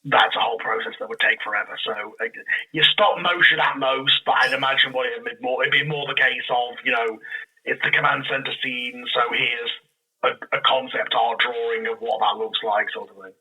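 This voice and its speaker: A man with a sharp, bright voice that has a raspy edge. He talks casually as a podcast guest, wandering and doubling back as he explains his creative work. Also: mid-range voice, steady tone, a little fast, low-quality recording. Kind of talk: casual interview chat.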